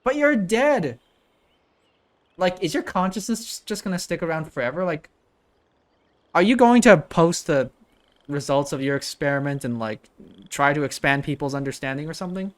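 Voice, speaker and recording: A woman's voice: low voice, animated, moderate pace, pauses often. A woman reacting to a game in a casual, slangy, exclamatory way. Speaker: reaction video host.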